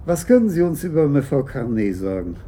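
An actor playing a formal, probing police detective who questions tersely in a German radio play. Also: male voice, medium pitch, sing-song, brisk, warm tone, a little hiss or hum, lightly acted.

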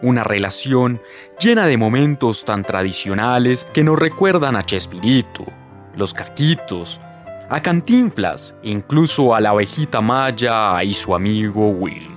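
Animated romantic radionovela narrator, played by a man. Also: on the low side, unhurried, soft background music. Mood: suspenseful, wry. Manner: romantic, theatrical storytelling. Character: romantic old-fashioned male radionovela narrator.

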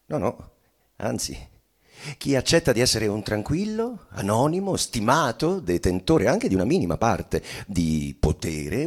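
An actor playing a dead bourgeois man bitterly confessing in a theatrical monologue, with halting, weighty phrasing and sudden swells. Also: lowish voice, a little mellow, exaggerated voice. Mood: scornful, emphatic. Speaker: stage actor giving a dramatic reading. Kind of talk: theatrical monologue reading of a literary play.

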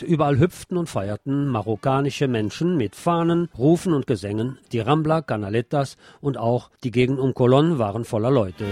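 Man reading radio news over music. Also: mid-range voice. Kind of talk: scripted radio news reading with wry asides.

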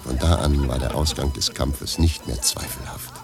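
Narrator, male: extremely low voice, measured literary storytelling with faint music behind. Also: fairly even intonation, gravelly. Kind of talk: scripted narration.

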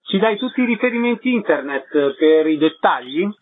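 Higher-voiced male radio host, narrowband sound. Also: expressive, moderate pace. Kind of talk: scripted radio presenting.

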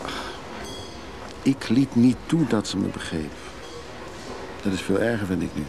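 A man's voice: lowish voice, lively intonation, a little slow, vocal fry. An actor playing a remorseful, melancholy traveling father in a confessional radio play monologue. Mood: regretful. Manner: reflective, melancholy. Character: brooding father and husband, traveler, craving drink, remorseful. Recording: noisy old radio play recording.

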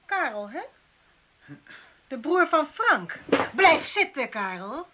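A woman's voice: sits high, very animated, slow, pushed and bright, full character voice. An actress playing a sardonic, commanding female police inspector in a radio drama.

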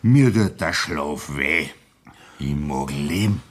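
A man's voice: extremely low pitch, animated, slow, full character voice. An actor with a Bavarian accent playing a boisterous, boastful rich farmer in a 1960s radio play, coaxing his friend to drink.

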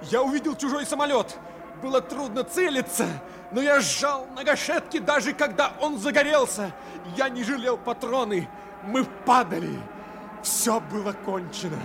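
A man's voice: very high voice, moderate pace. An actor playing an intense, desperate crewman of a stricken bomber, with strained and emphatic radio-drama delivery. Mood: anguished, defiant. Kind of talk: impassioned Soviet radio drama acting. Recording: noisy aircraft sound effects over voice. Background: loud engine noise.

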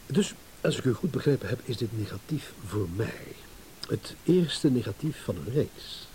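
Scornful husband played by a man, lively intonation. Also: medium pitch, normal speed, lightly acted, poor audio. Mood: sarcastic, irritated. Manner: sardonic, blunt, mocking. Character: scornful, impatient, self-assured male philandering husband. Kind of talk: radio play acting. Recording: hissy old radio play recording.

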